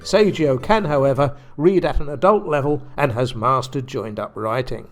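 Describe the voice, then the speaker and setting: A man's voice: mid-range voice, moderate pace, faint music behind. A man giving scripted comic narration for a radio play, in a wry, mock-grand manner. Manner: theatrical, wry.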